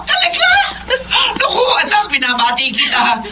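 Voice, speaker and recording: A woman playing a flustered, high-voiced mother on the phone. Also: huge pitch swings, normal speed, shouting. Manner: flustered and exclaiming. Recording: very noisy audio drama recording.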